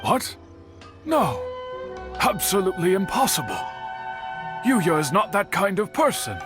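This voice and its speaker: A man voicing an earnest, courteous master swordsmith and worried uncle in a video game, speaking in formal, measured phrases. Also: sits high, huge pitch swings, over music, heavily acted. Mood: shocked, defensive. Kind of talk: video game character dialogue.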